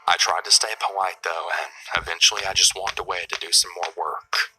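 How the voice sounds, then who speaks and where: A man narrating a scary first-person story in a hushed, half-whispered delivery. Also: low voice, little pitch movement, brisk, soft background music, rough recording.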